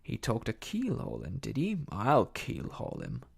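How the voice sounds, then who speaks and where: A man performing a crafty one-legged sea cook turned tavern keeper in a classic adventure tale. He blusters in salty sailor talk with a husky, breathy edge, his pitch leaping and plunging dramatically between heartiness and menace. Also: wildly swooping pitch, unhurried, a little mellow, exaggerated voice. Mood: excited. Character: cunning one-legged seafaring tavern landlord, hearty then stern.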